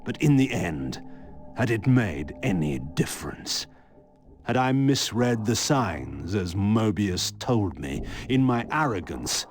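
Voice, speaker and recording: An actor playing a proud, sardonic vampire lord in a dark fantasy game, speaking in a measured, grandiloquent way. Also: male voice, lowish voice, big pitch swings, soft background music. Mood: brooding, grandiose. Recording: clear background noise under the voice.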